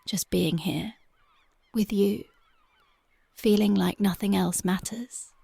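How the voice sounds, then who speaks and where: A woman voicing a tough but devoted young punk girlfriend in an ASMR roleplay, talking softly and intimately close to the mic, often dropping to a whisper. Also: mid-range voice, steady tone, takes it slowly, gravelly, slightly rough, no echo, exaggerated voice. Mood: tender, dreamy. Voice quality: soft, intimate, partly whispered. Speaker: voice actress.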